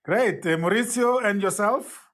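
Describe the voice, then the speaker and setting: A man's voice: high-pitched, a little slow, a bit bright. A man hosting a live podcast interview, effusive and prone to repeating his words as he starts a thought. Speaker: podcast host.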